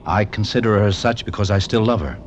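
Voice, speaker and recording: An actor playing a cool, lovesick small-time crook with stilted, formal street talk, in 1940s radio style. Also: male voice, lowish voice, brisk, slight background noise, lightly acted. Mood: wistful. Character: smooth-talking, lovesick small-time crook, coolly unflappable.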